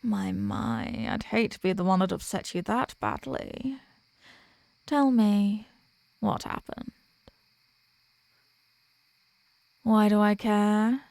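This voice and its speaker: A worldly, wry archdemoness , acted by a woman, speaks in a medium-pitched voice with coy, smug formality. She teases and flirts in the style of an intimate roleplay audio drama. The sound is dry, with no room around it. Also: lively intonation, slow pace, gravelly, a little mellow, no room sound. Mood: teasing, intrigued.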